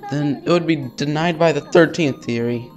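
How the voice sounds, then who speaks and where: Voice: medium pitch, normal speed, darkish tone, soft background music. A let's player reading visual novel text aloud in an informal way, stumbling over words and dropping in casual asides.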